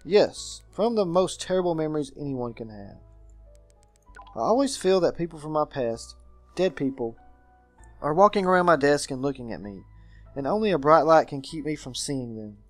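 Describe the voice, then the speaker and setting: Man reading as a melancholy, haunted gentleman guardian. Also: on the high side, animated, normal speed, dry recording, soft background music, close to an everyday voice. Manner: formal, brooding storytelling.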